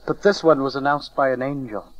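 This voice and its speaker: A man's voice: medium pitch, a little fast, lightly acted. A man acting an eager, awestruck shepherd in a dramatized audio Bible. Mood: awed, eager. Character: awestruck, eager shepherd visiting the newborn Messiah. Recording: dull lo-fi cassette transfer.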